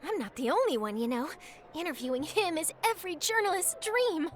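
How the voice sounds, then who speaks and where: A woman's voice: very high voice, lively intonation, slight background noise. A woman voicing a curious young female journalist in a game, chatty and persuasive but principled. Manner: chatty, coaxing, quick to explain herself. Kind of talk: game voice acting. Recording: game dialogue over music.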